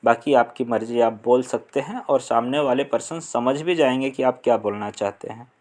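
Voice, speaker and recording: A young man from India with a Hindi accent, explaining an English lesson slowly and deliberately, pausing on fillers. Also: mid-range voice, darkish tone. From Uttar Pradesh, India. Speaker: English teacher.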